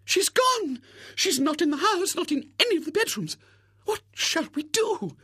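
Squeaky high gushy old-maid lady, breathless and dramatic, super swoopy, dry sound. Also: very animated, moderate pace, bright, forceful tone, no echo, full character voice. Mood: frantic. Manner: shrill, breathless and theatrical. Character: gushing, overbearing tall thin spinster.

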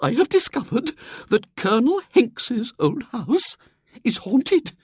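A woman voicing a pompous, stern elderly spinster who declaims loudly with a tremble of eagerness. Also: a little high, very animated, slow, breathy edge, no echo, clean studio sound, voice pushed up, exaggerated voice. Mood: solemn, eager.

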